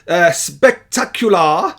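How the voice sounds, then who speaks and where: A man hosts a relaxed interview in an enthusiastic way. He often repeats himself while finding his words. His voice sits on the high side for a man. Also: slow. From Coventry, England. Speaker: host. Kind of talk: casual interview.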